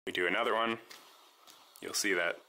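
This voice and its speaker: A man calmly walking through how his homemade device works, step by step, in a voice-over demo. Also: low-pitched, moderate pace, a little hiss or hum, low-quality recording. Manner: calm, methodical step-by-step explaining. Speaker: maker demonstrating his device.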